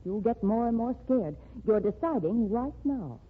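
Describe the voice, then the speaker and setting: A woman's voice: fairly high pitch, lively intonation, unhurried, slightly rough. An actress playing a determined woman writer who pushes a man to start over, in an old radio drama. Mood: persuasive. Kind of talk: 1940s radio drama acting. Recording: tinny lo-fi old radio broadcast.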